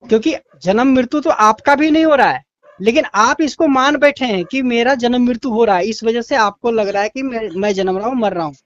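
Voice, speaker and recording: A man's voice: extremely high pitch, a little fast, fairly bright tone. A man explaining his view insistently in a religious debate on a phone call, repeating phrases to press his point. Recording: dull lo-fi call audio.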